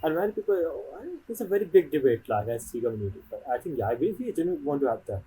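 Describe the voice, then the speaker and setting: A man's voice: on the high side, sing-song, fast talker, dark tone, husky, poor audio. A man giving casual, rambling commentary over gameplay, often leaving sentences half finished. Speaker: game streamer.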